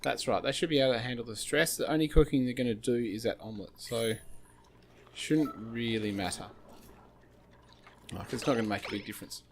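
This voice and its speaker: A slightly hoarse man hosting a gaming channel, thinking aloud with many fillers as he plays. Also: mid-range voice, brisk, a little hiss or hum. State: slightly hoarse, losing his voice. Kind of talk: casual gameplay commentary.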